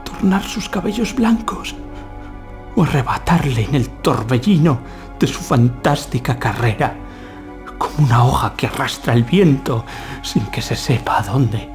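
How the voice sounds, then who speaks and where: A man's voice: medium pitch, expressive, unhurried, faint music behind, lightly acted. An actor playing a brave young nobleman haunted by dread, speaking in a solemn, declamatory way in a gothic horror audio tale. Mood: fearful dread.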